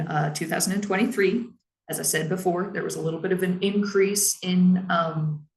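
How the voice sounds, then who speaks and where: A woman who heads a public library, giving a measured, explanatory presentation on staff training in her naturally lower voice. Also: echoey room, rough recording. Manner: measured and explanatory, with frequent "um" and "now".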